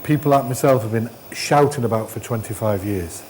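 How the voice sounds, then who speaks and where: A man's voice: medium pitch, darkish tone, low-quality recording. Man, middle-aged, endoscopy trainer, blunt clipped commands, faint background noise. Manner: blunt, brisk instructions, dismissive asides. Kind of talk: hands-on teaching, coaching a trainee. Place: hospital endoscopy training room.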